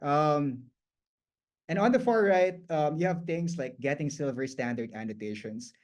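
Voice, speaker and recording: A man whose first language is Tagalog, giving a guest lecture in a loose, conversational way, often restarting phrases and filling pauses with "um" and "like". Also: a little high, lively intonation, a little mellow. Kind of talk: explanatory lecture.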